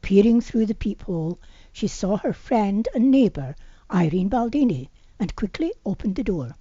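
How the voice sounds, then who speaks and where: A woman's voice: mid-range voice, lively intonation, normal speed, warm tone, slightly rough, dry recording, dull audio. A woman reading her own fiction aloud as an amateur audiobook narrator, occasionally stumbling over words. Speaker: author reading their own novel aloud.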